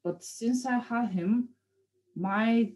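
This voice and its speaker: A woman's voice: a little high, slow, a little mellow. A woman in her thirties from Bulgaria with a Bulgarian accent, chatting informally and a little hesitantly as an interview guest. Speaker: guest, painter. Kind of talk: casual interview chat.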